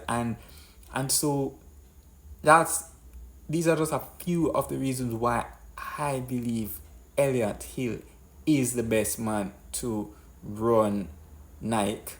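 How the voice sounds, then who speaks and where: A man hosting an unscripted business commentary, explaining in a deliberate way and often rephrasing his points. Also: medium pitch. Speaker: host of a business commentary show.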